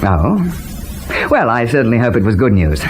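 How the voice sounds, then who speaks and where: A man playing a genial, eccentric gentleman with a British-tinged accent, airy and courteous in an old radio serial style. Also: mid-range voice, sing-song, a little slow, soft background music. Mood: breezy, amused. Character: genial, breezy, eccentric gentleman who sketches. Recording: noisy vintage radio recording.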